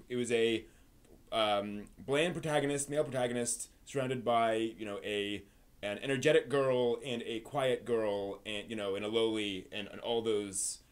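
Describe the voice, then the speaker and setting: A man hosting a live stream in casual, halting chat, circling back over his phrases. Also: medium pitch. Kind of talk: casual rambling chat about anime.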